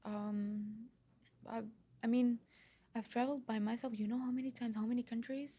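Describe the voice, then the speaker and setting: A woman from Lebanon, a singer-songwriter being interviewed, talking casually in halting phrases that she often repeats, with many fillers. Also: fairly high pitch, steady tone, mellow.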